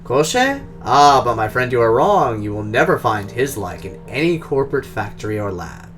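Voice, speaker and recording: A man voicing a polished, guarded Russian drone engineer who talks in a formal, measured, precise way, with a Russian accent. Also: mid-range voice, big pitch swings, a bit bright, faint music behind.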